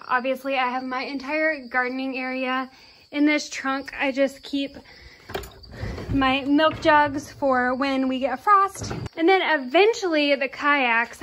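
A woman's voice: high voice, sharp tone, a little hiss or hum. A woman in her twenties from Iowa, chatting casually as she vlogs while walking through an old farm barn. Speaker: vlogger. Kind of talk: casual vlog narration. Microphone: handheld camera close to the face.

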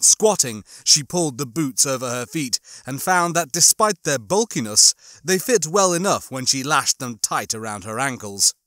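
A man narrating a horror science fiction audiobook in a measured, expressive, suspenseful way. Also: on the high side, no room sound, clean studio sound. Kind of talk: dramatic scripted narration of a horror sci-fi novel.

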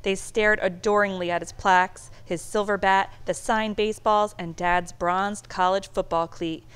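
Female storybook reader, mid-pitched, measured and expressive narration. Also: clear, resonant tone. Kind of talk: read-aloud children's book narration.

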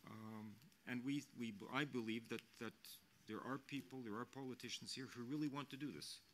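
A man in his sixties speaking as a mission official on a panel, measured and earnest, often restarting his sentences, into a desk microphone in a press hall. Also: medium pitch, slight background noise. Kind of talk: unscripted panel remarks.

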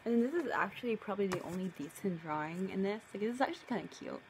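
A woman's voice: medium pitch, animated, quick pace. Young woman reading aloud, noisy home recording. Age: young adult. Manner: playful, mock-indignant asides. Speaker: video creator. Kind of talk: casual vlog reading aloud own childhood story with commentary. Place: living room at home, indoors.

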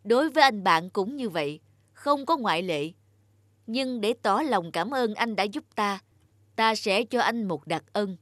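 A woman's voice: mid-range voice, expressive, a little slow, a bit bright, dry recording, clean studio sound. Woman voicing a solemn, stately Grim Reaper. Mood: solemn gratitude. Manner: formal, stately. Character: solemn, commanding Grim Reaper who shows gratitude. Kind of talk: cartoon folk-tale acting.

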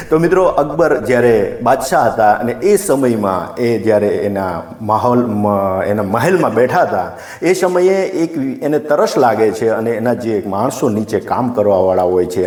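A man's voice: mid-range voice, a little mellow. Echoey Gujarati man telling moral stories. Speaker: storyteller and channel host. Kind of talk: informal moral storytelling to viewers. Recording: echoey, roomy sound.

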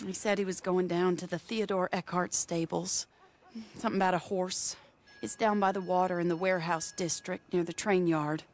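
An actress playing a genteel, earnest young American lady in a western video game, proper and gently pleading. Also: on the low side, fairly even intonation, normal speed, close to an everyday voice. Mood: reproving, regretful. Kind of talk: video game cutscene dialogue.

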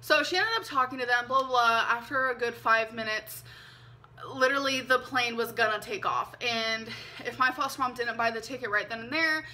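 A woman in her twenties telling a personal story to camera from her bedroom, chatty and animated, with lots of ums and likes. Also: a little high, normal speed. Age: 20s. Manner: chatty, animated, frequent "um" and "like", hand gestures. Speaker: vlogger. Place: bedroom, indoors. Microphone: camera mic, seated facing camera.

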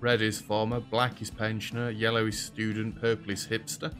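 A young British man with a rather deep voice, chatting casually over a game as host and joking with the characters on screen. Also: completely flat delivery, normal speed, fairly bright tone, faint music behind. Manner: chatty, self-deprecating, talks to game characters. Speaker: gaming video host. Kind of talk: casual let's-play commentary. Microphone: headset.

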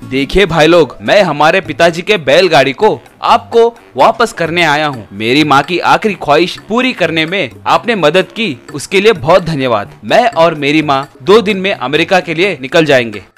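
A man playing a confident, dutiful and polite son in an animated story, speaking earnestly. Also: a little high, normal speed, hardly any pauses, soft background music. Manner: earnest, assured.

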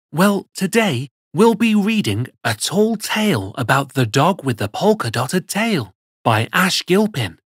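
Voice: mid-range voice, husky, dry recording, clean studio sound. A performer voicing a cheerful plush cat who reads picture books to children in a theatrical, sing-song way. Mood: cheerful, welcoming. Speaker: storyteller host. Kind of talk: expressive children's picture-book read-aloud.

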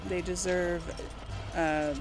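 Slow-paced woman gamer over loud music. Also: on the low side, little pitch movement. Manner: chatty, talks to game characters and herself. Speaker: host of a role-play gaming series. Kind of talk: casual gameplay commentary with in-character replies. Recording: game music behind the voice. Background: video game music.

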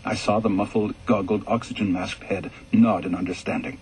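A man reading a ghost story aloud for radio in a measured, calm way, going soft and low in the reflective passages. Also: slight background noise, poor audio. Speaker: story reader.

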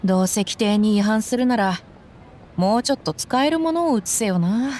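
A woman's voice: mid-range voice, animated, moderate pace, a little mellow, slight background noise, close to an everyday voice. A woman playing a tough, blunt young female mercenary who talks brusquely and casually in a Japanese anime game. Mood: exasperated.

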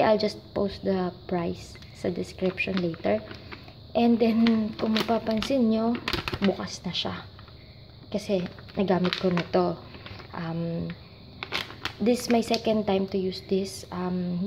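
A woman's voice: mid-range voice, gravelly, warm tone, dull audio, a little hiss or hum. A young Filipino woman with a Filipino accent, hosting a casual cooking tutorial in her home kitchen, switching between English and Tagalog with frequent ums. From Philippines. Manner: mixes English and Tagalog, hesitant with many ums. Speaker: cooking vlogger.

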